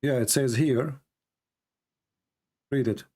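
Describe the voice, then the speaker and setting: A man with an Arabic accent, a native Arabic speaker, arguing forcefully and lecturing in a heated live debate over an online call. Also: mid-range voice, slow pace. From Middle East.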